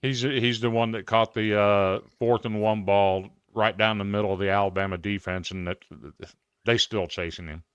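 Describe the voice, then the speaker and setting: American guy in his 50s talking sports, low clear voice, dry close sound. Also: fairly even intonation, normal speed, no room sound. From Iowa, United States. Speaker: guest on a sports fan show. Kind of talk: casual sports talk and storytelling.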